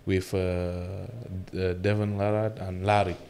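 A man, answering interview questions in halting, simple English with many fillers. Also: deep voice, little pitch movement, darkish tone, a little hiss or hum. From Cameroon. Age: 20s. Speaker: guest arm wrestler.

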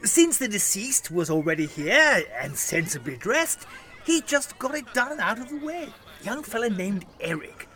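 A British actor playing a cheerful, oblivious funeral officiant in an audio sitcom, rambling on breezily. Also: male voice, high voice, big pitch swings, moderate pace, sharp tone, exaggerated voice. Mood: breezy, cheerful.

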